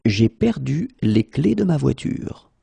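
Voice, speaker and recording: A man teaching French in a pronunciation drill, slowly repeating each phrase and then saying it word by word. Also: medium pitch, big pitch swings, creaky, mellow, breathy edge, no echo.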